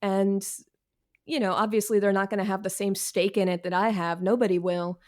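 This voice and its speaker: A woman's voice: mid-range voice, level tone, normal speed, a little mellow. Candid, self-deprecating woman in an interview. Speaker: guest, professional writer. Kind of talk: conversational interview answers.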